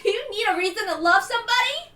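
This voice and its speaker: A woman voicing a furious, lovestruck teenage girl defying her elders, strained and shouting in a dramatic visual novel reading. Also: extremely high pitch, animated, fast talker, echoey room, pitched above the performer's own voice, full character voice. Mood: impassioned, defiant.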